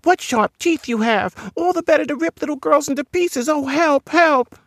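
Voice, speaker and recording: A small, childlike girl creature from a dark storybook, acted out by a male narrator. The voice sits high and swoops around widely, with a creaky edge as it taunts, pleads and wails in fear. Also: big pitch swings, measured pace, dry recording, studio quality, exaggerated voice. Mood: terrified wailing.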